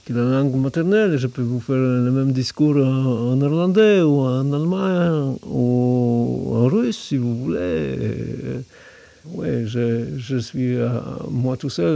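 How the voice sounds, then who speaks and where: Gravelly middle-aged man, Polish accent. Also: medium pitch, warm tone, no room sound. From Poland. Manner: halting, thoughtful, many pauses. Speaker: Buddhist monk. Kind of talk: reflective spoken explanation.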